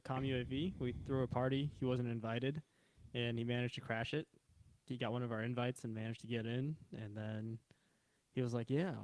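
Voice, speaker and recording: A man's voice: mid-range voice, dry recording. Casual male podcast co-host, dry close sound. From China. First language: Mandarin. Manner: relaxed, conversational, profane banter.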